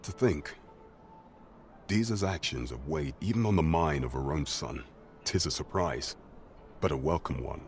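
A very low-voiced, grave captain of the guard counsels his sovereign in a fantasy game. He is courtly and measured, with loyal formality and archaic phrasing. Some noise sits behind the voice. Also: expressive, exaggerated voice. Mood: earnest. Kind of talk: scripted fantasy game acting in archaic English.

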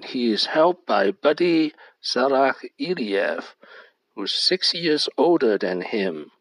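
A man hosting his own channel, reading a scripted lesson outline in short, measured fragments. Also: medium pitch, gravelly, fairly bright tone, no room sound, poor audio. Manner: reads short fragments in a measured, deliberate cadence. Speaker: channel host. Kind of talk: slow scripted narration over slides.

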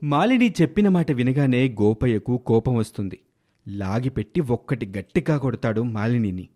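A man's voice: mid-range voice, lively intonation, moderate pace, warm tone. A man narrating a scripted story as a storyteller.

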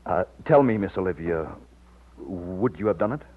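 An actor in an old radio drama playing an earnest, loyal, plain-spoken overseer from Texas. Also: male voice, sits low, animated, normal speed, husky. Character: earnest, loyal Texan plantation overseer and war veteran. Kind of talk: old-time radio drama acting with narration. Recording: muffled lo-fi old radio recording.

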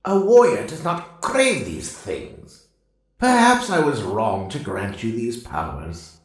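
An actor voicing a stern, solemn mystical spirit in an audio drama, speaking deliberately and lecturing. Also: medium pitch, sing-song. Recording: heavily echoing voice.

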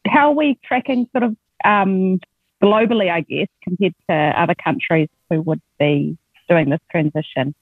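A woman's voice: lowish voice, moderate pace, breathy edge, dry recording. A woman interviewer asking a question casually over a video call. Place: indoor room on a video call. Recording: tinny phone-like video call line.

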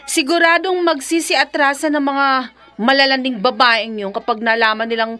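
A jealous live-in girlfriend with a Filipino accent and a very high voice. She is suspicious and fiery, voiced in heightened radio-drama style. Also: fairly even intonation, moderate pace, sharp tone, a faint crowd. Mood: bitter, aggrieved.

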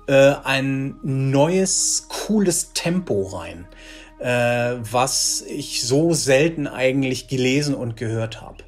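A man's voice: medium pitch, a little mellow. A man in his forties talking casually and enthusiastically in an interview over a video call, often restarting his phrases. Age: 40s. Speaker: audio drama writer, interviewee. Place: small bright room with bookshelves, indoors.

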